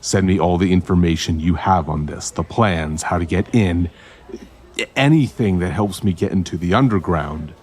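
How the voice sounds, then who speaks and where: An actor playing a grim, protective male investigator who talks tersely and deliberately in an audio drama. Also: low-pitched, a little mellow, breathy edge. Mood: grim, probing.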